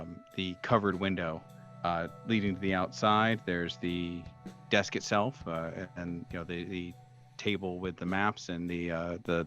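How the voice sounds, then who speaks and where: A man running a horror tabletop game as game master, improvising his descriptions haltingly and searching for words. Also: sits low, a bit bright. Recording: dull, muffled sound with music behind. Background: background music.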